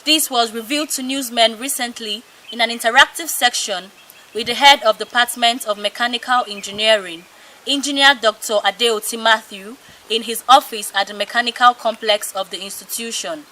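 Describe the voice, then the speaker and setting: A woman's voice: on the high side, normal speed, bright, forceful tone, poor audio. A young adult woman with a Nigerian accent reading a scripted news report as a student reporter.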